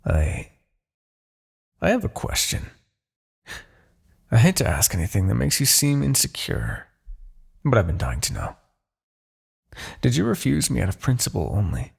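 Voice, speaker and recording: Man acting a gravelly, haughty demon king. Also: mid-range voice, wildly swooping pitch, a little slow, pauses often, heavily acted. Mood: curious, wounded pride. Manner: haughty, theatrical, formal diction. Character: smug demon king, possessive, courtly, thwarted suitor. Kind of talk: ASMR roleplay, dramatic character acting.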